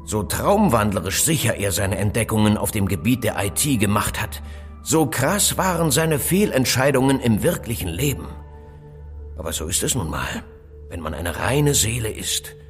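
Cryptic, animated male inventor. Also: on the low side, moderate pace, soft background music. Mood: cryptic, reflective. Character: evasive, cautious male phone inventor, knowing and cryptic. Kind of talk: German audio drama dialogue acting.

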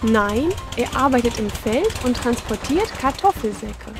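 Female narrator, higher-pitched, for a preschool educational cartoon; instructive question-and-answer delivery over clear background music. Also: lively intonation, unhurried. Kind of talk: scripted narration for young children.

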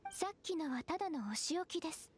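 A woman playing a gentle, earnest young secretary who speaks politely and formally in an anime game. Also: sits high, animated, brisk. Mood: stern, polite. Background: game music.

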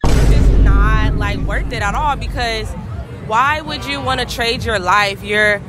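A young woman answering a street interview on a busy street at night, calm and reflective. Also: a little high, unhurried, loud music behind the voice, over a noisy crowd.

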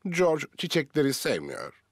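A man's voice: on the high side, wildly swooping pitch, unhurried, no room sound, clean studio sound, full character voice. A man as the storybook narrator of a children's cartoon, reading scripted narration. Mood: storytelling. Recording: loud music mixed underneath.

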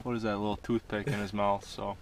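A young man in his twenties vlogging an ice-fishing trip with loud, joking banter, filmed on a wearable camera on a frozen lake. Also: on the low side, little pitch movement, a little fast. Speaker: vlogger and angler. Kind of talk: casual outdoor banter. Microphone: wearable action camera. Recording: action camera with loud wind noise.

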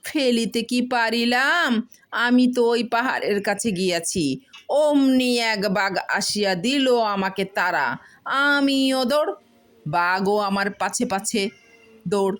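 A woman voicing a boastful village husband who spins excited tall tales, repeating words for suspense in a storybook reading. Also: fairly high pitch, slow pace, pitched above the performer's own voice. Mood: excited, dramatic.

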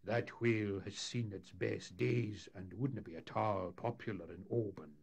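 A man voicing a wily older boat captain who talks his way out of trouble in a sly, unhurried way. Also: on the low side, gravelly, a little mellow.

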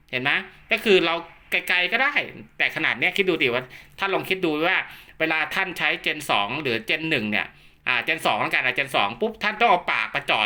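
A man with a very bright, forward voice pitched a little high for a male speaker. He explains equipment like a hands-on technician, in a chatty, instructive way, often going back over a point. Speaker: radio equipment technician and seller giving a demo. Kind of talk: informal product demonstration and explanation.

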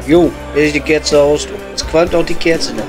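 A man's voice: fairly high pitch, steady tone, normal speed, natural voice. A man playing a blunt, coarse coal miner with a Ruhr German accent, bantering casually in an improvised audio comedy. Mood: chummy. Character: gruff Ruhr coal miner at his pub. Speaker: comedy performer. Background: music.

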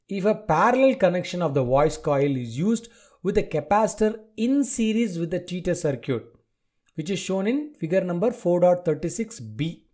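A man lecturing from a prepared text, reading deliberately point by point in short phrases. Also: high-pitched, warm tone. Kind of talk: scripted lecture read from slides.

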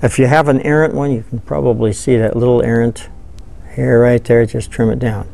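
Older man, fly tying demo, folksy, thinks out loud, mid-pitched voice. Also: normal speed, creaky. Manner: relaxed, folksy, thinks aloud while working. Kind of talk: hands-on tutorial. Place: studio with dark backdrop, seated at table.

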